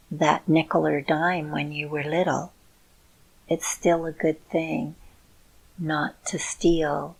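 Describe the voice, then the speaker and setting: A middle-aged woman talking to her viewers in a slow, soothing and encouraging way. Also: low-pitched, vocal fry, a little mellow, slightly rough, poor audio. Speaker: video host. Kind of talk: heartfelt personal talk to viewers.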